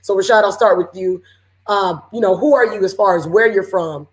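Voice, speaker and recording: A woman from Seattle with a raspy voice, hosting a casual panel chat over a video call with lots of fillers. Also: mid-range voice, normal speed, low-quality recording. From Seattle, Washington. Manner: relaxed, chatty, lots of fillers. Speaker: panel host.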